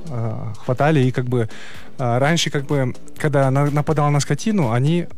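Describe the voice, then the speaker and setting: A man's voice: medium pitch, darkish tone. Man with music behind. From Kyrgyzstan. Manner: halting, explanatory, with many hesitations. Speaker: athlete guest, player of a traditional horseback sport. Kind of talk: casual radio interview.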